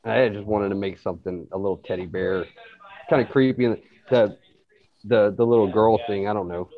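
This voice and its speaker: A man's voice: on the low side, normal speed. A man from Louisiana chatting casually as a writer guest, hesitant and self-effacing, on dull lo-fi audio. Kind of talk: casual chat.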